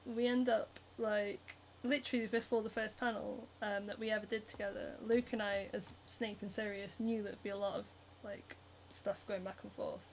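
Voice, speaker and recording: A woman's voice: medium pitch, flat delivery, normal speed, hardly any pauses, a little hiss or hum, poor audio. A young woman with a Northern English accent, hosting a casual livestream while she sews, reading out viewer comments and chatting with lots of giggles, ums and likes. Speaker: cosplayer and livestream host. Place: home, hand sewing while streaming.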